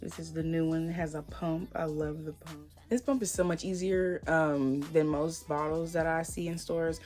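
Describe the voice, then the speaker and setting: A woman with a slightly hoarse voice, chatting casually and rambling as she reviews hair products. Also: low-pitched, steady tone, normal speed, a little mellow, faint music behind. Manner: chatty, rambling, lots of fillers. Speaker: hair vlogger.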